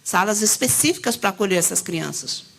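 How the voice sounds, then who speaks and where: Gravelly-voiced woman at a conference. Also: medium pitch, expressive, brisk, fairly bright tone, slight background noise.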